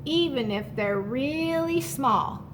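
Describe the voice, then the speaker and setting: A woman reading a picture book aloud to young children, pausing to explain it simply and speaking to the listener in an encouraging way. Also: high-pitched, animated, noisy background. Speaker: storytime reader for children.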